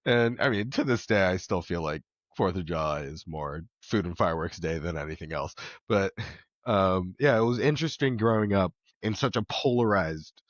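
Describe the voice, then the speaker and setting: A man telling his life story as a podcast guest, reflective, with many pauses, ums and soft laughs. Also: sits low, dry recording, muffled recording. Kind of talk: candid personal conversation.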